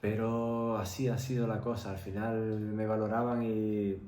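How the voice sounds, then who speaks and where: A young man telling a candid personal story in a reflective, halting way. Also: lowish voice, little pitch movement, dark tone. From Venezuela-born, grew up in Tenerife, Spain. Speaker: vlogger. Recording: roomy echo, faint background noise.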